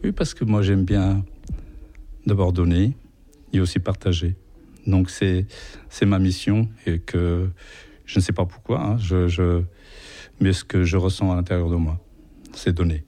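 A man from Portugal speaks French with a Portuguese accent. His voice is low and mellow. He answers haltingly, often stopping to search for words. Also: steady tone. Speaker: radio volunteer. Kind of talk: interview answers.

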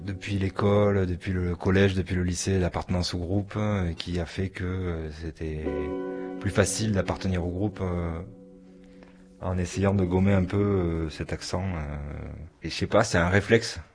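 A very deep-voiced man speaks haltingly, pausing often. Music is clearly heard behind him. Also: fairly even intonation. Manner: hesitant, halting.

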